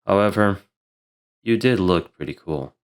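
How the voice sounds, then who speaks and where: A man's voice: low voice, expressive. A man playing a serious, composed young male fighter in an audio drama, speaking solemnly and formally. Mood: wry. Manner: solemn, measured, formal wording.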